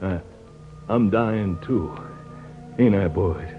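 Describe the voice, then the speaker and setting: A slow, dying frontier roughneck. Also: male voice, sits low, over music. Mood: fading, mournful. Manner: halting, broken, folksy speech. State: weak, dying. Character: simple rough frontier man, weak and dying. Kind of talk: 1950s radio drama acting. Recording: very muffled old radio recording.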